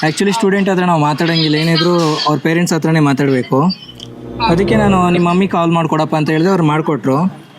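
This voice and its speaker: A man's voice: fairly high pitch, fast talker. A man hosting prank calls, chatting casually and mixing in English words. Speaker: prank show host. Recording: clearly noisy with clicks.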